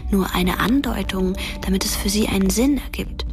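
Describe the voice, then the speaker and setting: A woman as a ghostly teenage girl narrating a radio drama. She speaks softly and deliberately, with breathy intimacy. Music runs underneath her voice. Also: mid-range voice, close to an everyday voice. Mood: quiet, intimate.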